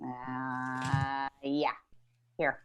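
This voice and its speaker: A woman's voice: a bass voice, completely flat delivery, extremely slow, smooth, exaggerated voice. A woman playing a sarcastic, insulting sitcom receptionist with a pointed manner, over a lo-fi video call. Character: Amy, overly sarcastic, insulting female receptionist. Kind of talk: sitcom table read.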